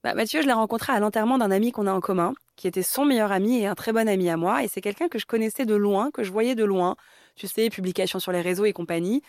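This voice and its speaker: A woman's voice: medium pitch, quick pace, darkish tone, dry recording, clean studio sound. A woman in her thirties from Paris, speaking candidly in a conversational way as an interview guest. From Paris, France. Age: 30s. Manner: candid, conversational, many fillers.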